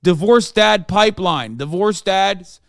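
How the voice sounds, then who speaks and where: A man's voice: sits high, lively intonation, measured pace, vocal fry, fairly bright tone, no room sound, studio quality. Teasing, slangy young man co-streamer. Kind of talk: casual reaction stream commentary.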